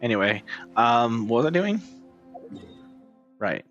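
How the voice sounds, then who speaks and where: A man's voice: mid-range voice, sing-song, slow pace, fairly bright tone, dull audio. A man giving casual gameplay commentary as a streamer, muttering to himself as he plays. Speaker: video game streamer. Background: game music.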